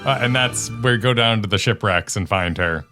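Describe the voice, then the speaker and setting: A man's voice: lowish voice, a little fast. A man co-hosting a gaming reaction show, commenting in a casual, conversational style. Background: music behind the voice.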